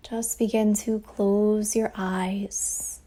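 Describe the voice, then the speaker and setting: A woman leading a guided meditation as a teacher, speaking softly and breathily in a soothing way with long pauses, over ocean waves. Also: medium pitch, little pitch movement. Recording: faint ambient wave noise behind voice. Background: ocean waves sound effect.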